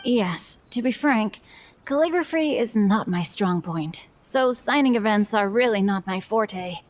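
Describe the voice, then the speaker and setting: A woman's voice: mid-range voice, lively intonation, measured pace, lightly acted. A woman voicing a refined, easily flustered young male novelist in a video game, speaking formally and anxiously. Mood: embarrassed, confessing.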